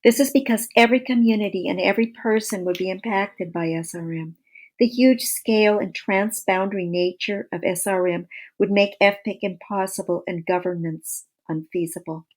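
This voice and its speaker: A woman reading scripted non-fiction narration for an audiobook in a measured, explanatory way. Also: mid-range voice, rough recording.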